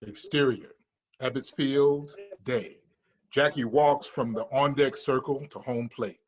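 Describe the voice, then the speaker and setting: A man's voice: medium pitch, steady tone, measured pace, highs cut off. A man reading screenplay stage directions as scripted narration at a table read.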